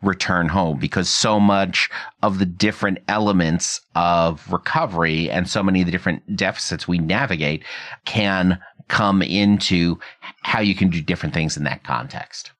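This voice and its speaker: A man's voice: low-pitched, moderate pace, a bit bright. A man hosting a conversational interview, asking questions and explaining things in a reflective way. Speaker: podcast host interviewing a guest.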